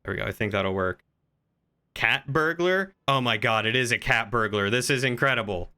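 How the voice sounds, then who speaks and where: A man talking casually on a gaming livestream, chatty and playful, thinking out loud as he goes. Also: medium pitch, normal speed.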